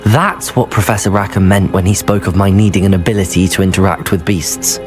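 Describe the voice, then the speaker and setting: An actor playing an earnest, determined young wizard student in a video game, with a serious, measured way of speaking. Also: male voice, low voice, lightly acted. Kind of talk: dramatic video game voice acting. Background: game music.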